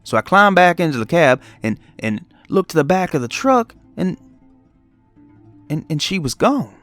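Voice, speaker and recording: A man's voice: fairly high pitch, sing-song, normal speed, a bit bright, soft background music, voice pushed down. A man voicing a guilt-ridden trucker who stammers and pleads through a horror story confession. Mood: nervous, bewildered. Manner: stammering, repeating words, pleading. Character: distraught guilt-ridden male trucker confessing a fatal accident. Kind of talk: dramatic horror story monologue.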